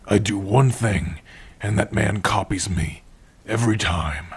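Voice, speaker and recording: A man playing a gentle, proud orc warrior and blacksmith in an intimate ASMR roleplay, speaking tenderly in a husky, breathy voice with long pauses. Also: fairly low pitch. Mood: fond, reminiscing. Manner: slow, tender, measured delivery with long pauses. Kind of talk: ASMR roleplay, intimate second-person acting.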